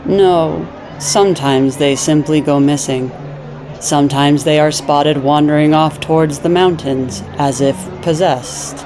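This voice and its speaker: Woman acting as elderly half-elf nun, earnest, formal, measured delivery, fantasy audio drama, music underneath. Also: deep voice, a crowd in the background. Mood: grave warning. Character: older half-elf nun, kindly and solemn. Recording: music behind the voice.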